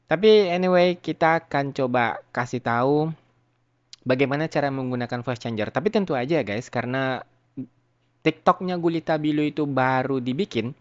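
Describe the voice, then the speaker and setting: A man giving a casual software tutorial in an informal, slangy, chatty way, addressing viewers as a YouTuber. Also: medium pitch, normal speed, highs cut off.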